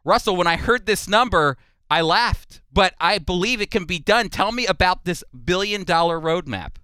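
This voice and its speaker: A man's voice: high voice, moderate pace, pushed and bright, clean tone, dry recording. A man hosting a radio interview, speaking in an emphatic, enthusiastic manner.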